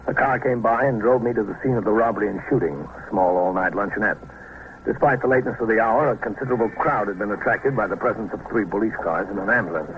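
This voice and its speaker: An actor playing a steady police captain who narrates his case in a radio drama, measured and official. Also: lowish voice, natural voice. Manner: measured, official, matter-of-fact. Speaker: narrator and lead. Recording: tinny, noisy old radio recording.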